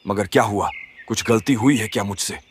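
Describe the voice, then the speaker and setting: A man's voice: fairly low pitch, a little fast, lightly acted. Man as an old cartoon lion king, noisy background. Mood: mild, questioning. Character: aging lion king, trusting, dignified, mild. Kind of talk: Hindi animated moral story dialogue.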